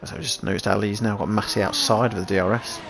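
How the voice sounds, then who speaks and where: A man calls a sim race in a low, gravelly voice gone croaky after a sneeze. He thinks aloud, restarting his sentences as the action unfolds. Engine noise and hiss sit under his mic. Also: steady tone, quick pace, fairly bright tone. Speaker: race commentator. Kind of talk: sim racing commentary.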